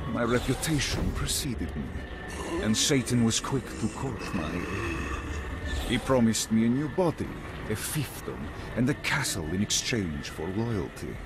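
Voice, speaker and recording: An actor playing a proud, menacing undead warlord in a video game, speaking in a formal, theatrical way. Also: male voice, fairly low pitch, sing-song, a little slow, background noise. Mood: brooding, proud. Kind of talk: video game villain monologue.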